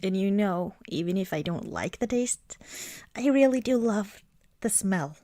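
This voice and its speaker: Slow, soft-spoken ASMR woman. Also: medium pitch, expressive, no echo, clean studio sound. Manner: gentle, unhurried, often dropping into whispers. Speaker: ASMR roleplay performer. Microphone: close microphone, dry sound.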